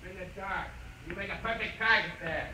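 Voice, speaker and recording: A murderous, jealous spaceman in an old radio play. His high male voice is hard-edged and sneering, full of threat and agitation. The vintage recording has background noise. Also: brisk, pushed and bright. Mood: sneering, menacing. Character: jealous, unhinged, murderous spaceship crewman turned gunman. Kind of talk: old-time radio drama acting. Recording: noisy old radio broadcast.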